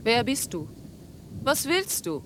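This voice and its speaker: Dismissive suspicious woman, radio play, high voice, drawn out words. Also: sing-song, takes it slowly, fairly bright tone, a little hiss or hum, heavily acted. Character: suspicious, dismissive woman roused at night, hostile to the caller. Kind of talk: German radio drama acting.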